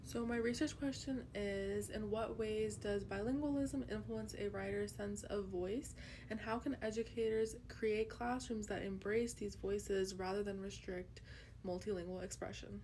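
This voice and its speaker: Earnest young woman student, even pitch. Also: measured pace, slight background noise. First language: Urdu. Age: young adult. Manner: earnest, reflective, with frequent ums. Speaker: student presenter. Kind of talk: class project presentation.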